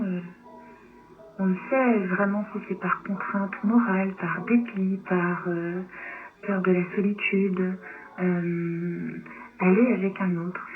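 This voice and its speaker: A woman from Romania, native in Romanian, talking reflectively and hesitantly as a guest in an interview. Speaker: novelist, radio guest. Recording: tinny low-bitrate shortwave radio, clear noise.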